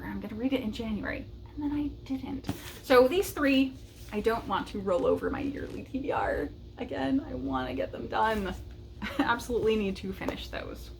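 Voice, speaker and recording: Upbeat woman doing a chatty book vlog, voice a bit high, some faint noise behind her. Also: rough recording. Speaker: book review vlogger. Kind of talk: casual unscripted chat to camera.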